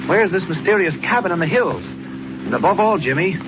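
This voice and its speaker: An actor with an American accent playing a brisk, resolute investigator hero in a 1940s radio serial, urgent and authoritative. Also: male voice, high-pitched, lively intonation. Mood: urgent, decisive. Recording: muffled, very noisy old radio recording.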